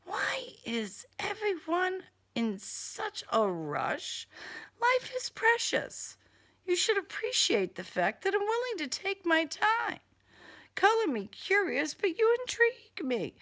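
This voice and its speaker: A woman's voice: very high-pitched, wildly swooping pitch, takes it slowly, a bit bright, pitched above the performer's own voice, full character voice. A woman voicing a smug male serial killer in an audiobook, with polite, condescending, formal diction and a taunting menace. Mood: smug, menacing.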